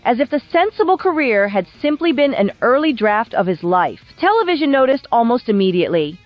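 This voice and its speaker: A woman narrating a scripted biographical documentary in a measured, polished storytelling manner with wry touches. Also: a little high, no room sound, highs cut off. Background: background music.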